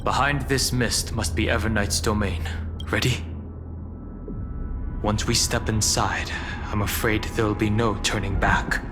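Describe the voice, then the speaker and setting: A man acting a grave, stoic, resolute adventurer in a video game, with a measured and solemn manner. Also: on the low side, steady tone, natural voice. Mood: grave, wary. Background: music.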